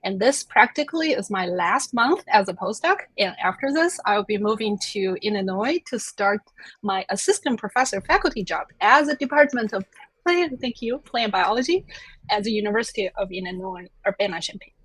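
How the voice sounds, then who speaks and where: A woman's voice: medium pitch, lively intonation, moderate pace, a bit bright, breathy edge, no room sound, poor audio. A woman in her thirties from China, a native Chinese speaker, telling her life story in a chatty, self-deprecating way as an interview guest. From China (Chengdu). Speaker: interview guest, plant scientist.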